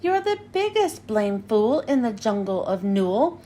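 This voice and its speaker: A woman's voice: a little high, sing-song, a little slow, mellow, a little hiss or hum, pitched below the performer's own voice. A woman voicing a sour, scornful storybook kangaroo with a huffy, dismissive manner.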